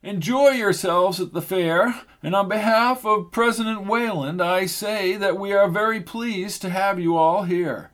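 A man voicing a stiff, formal male fair official who speaks in a crisp, precise way. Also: high-pitched, measured pace, few pauses, fairly bright tone, pitched above the performer's own voice.

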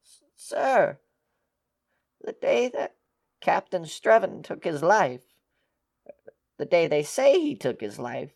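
An actress playing a devoted, distraught housekeeper in an audio drama, tremulous and melodramatic. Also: female voice, fairly low pitch, very animated, slow, vocal fry, long pauses, darkish tone, exaggerated voice. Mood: hesitant, tearful.

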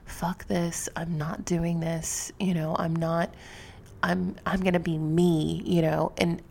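A woman's voice: fairly low pitch, level tone, takes it slowly, gravelly, a little mellow. A woman podcast host with a slightly sniffly voice talks candidly and reflectively to her listeners, in an informal, confessional way, close to the microphone. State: sniffly from allergies.

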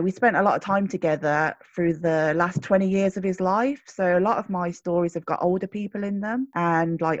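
A woman's voice: on the low side, no room sound. A woman with a faint Birmingham accent answers interview questions, thoughtful and loosely phrased, with fillers along the way. The sound is dry and close. The audio comes through muffled, as over a video call. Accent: slight Birmingham (Brummie). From Birmingham, England. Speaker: children's writer, guest.

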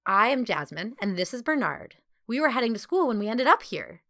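Lady doing a worried little chatterbox girl, gushing fast, really bright and emphatic. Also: extremely high pitch, very animated, voice pushed up, full character voice. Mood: anxious, gushing. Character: excitable, anxious, chatterbox young girl.

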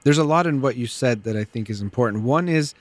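A middle-aged man hosting a call-in psychology radio show, explaining things in a calm, measured way. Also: medium pitch. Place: radio studio.

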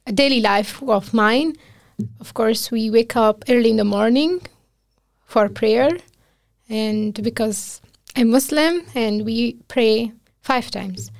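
A woman's voice: a little high, slow, vocal fry, dry recording. Earnest Afghan-accented woman, dry sound. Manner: earnest, emotional storytelling with quoted speech and laughter. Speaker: guest, physician. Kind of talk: casual interview conversation.

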